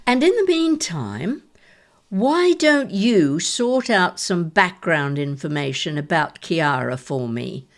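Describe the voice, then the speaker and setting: An older woman playing a shrewd, sceptical grandmother in an audio drama, gently probing in a measured, questioning manner. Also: lowish voice, sing-song, full character voice. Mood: shrewd, coaxing.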